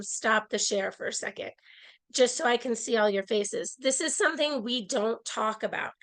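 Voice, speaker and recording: A woman presenting an online workshop over a video call, slightly hoarse from a cold, explaining earnestly with frequent restarts. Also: fairly high pitch, fairly bright tone. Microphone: earbud mic on a video call.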